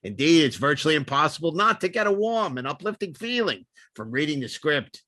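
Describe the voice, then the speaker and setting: A man's voice: on the high side, sing-song, brisk, pushed and bright, no room sound. Man hosting a podcast, dry close sound. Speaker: podcast host. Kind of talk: film discussion with read-out studio notes.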